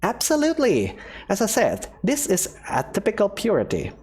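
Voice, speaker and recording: A woman's voice: medium pitch, wildly swooping pitch, moderate pace, darkish tone, breathy edge, voice pushed up. A woman voicing a cheerful, reckless female professor who lectures breezily and teases her assistant. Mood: confident. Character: eccentric, cheerful female dust science professor. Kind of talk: fan game voice acting.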